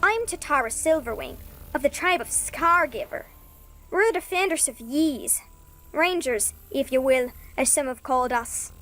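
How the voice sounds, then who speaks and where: Feisty, high-pitched tiny fairy. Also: female voice, expressive, normal speed, sharp tone. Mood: proud. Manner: feisty, indignant, proud. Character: tiny fierce female fairy ranger, quick to accuse. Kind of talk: fantasy audio drama acting.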